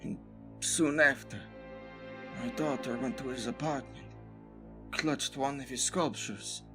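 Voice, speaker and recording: A man voicing a humble, middle-aged shop owner in an anime fan dub, a grieving father who speaks politely, formally and haltingly. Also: medium pitch, over music, lightly acted. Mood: polite, somber. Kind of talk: anime dub acting, dramatic monologue.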